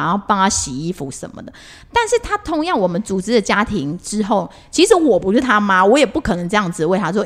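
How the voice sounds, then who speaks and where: A woman with a Taiwanese Mandarin accent in a casual podcast chat, telling stories expressively as co-host. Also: medium pitch.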